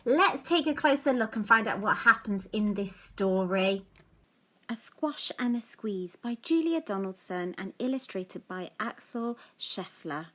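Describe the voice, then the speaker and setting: A woman's voice: medium pitch, lively intonation, a little slow, fairly bright tone. A woman reading a story to young children, speaking expressively and addressing them directly as a storytime reader.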